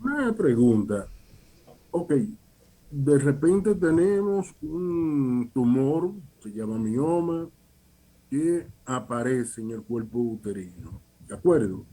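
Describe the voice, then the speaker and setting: A man poses deliberate questions as a radio interviewer. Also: mid-range voice, sing-song, dark tone, low-quality recording.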